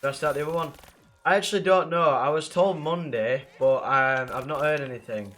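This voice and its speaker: A young man with a blocked nose and rough throat, chatting casually and swearing with viewers while playing a game. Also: fairly high pitch, fairly quick, fairly bright tone. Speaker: livestreamer playing a zombie game.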